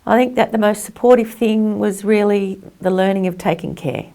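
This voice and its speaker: Reflective woman with a creaky voice. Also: medium pitch, normal speed, dark tone. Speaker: carer sharing personal experience. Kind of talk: reflective personal testimony.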